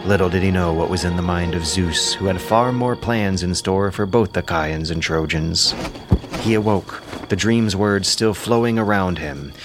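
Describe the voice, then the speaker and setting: Deep-voiced man narrating an epic. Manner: measured, theatrical storytelling. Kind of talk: dramatic scripted epic narration. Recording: music under the narration. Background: music.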